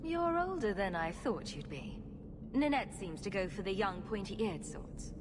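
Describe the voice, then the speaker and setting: A woman's voice: medium pitch, sing-song, measured pace. Game heroine: sarcastic female rogue, breezy delivery, middle register, noisy background. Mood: wry. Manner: wry, breezy. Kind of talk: video game dialogue acting. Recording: clear noise behind the voice.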